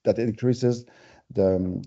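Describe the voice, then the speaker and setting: A man's voice: fairly low pitch, fairly even intonation, slow pace, warm tone, husky, lo-fi sound. A man with a French Canadian accent, giving a conversational, explanatory webinar presentation with everyday examples. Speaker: company presenter.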